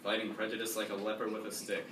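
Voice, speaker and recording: A man's voice: on the low side, monotone, fast, crowd noise. A sardonic young man performing a mock book review as comedic reader's theatre. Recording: echoey room, distant mic, faint noise.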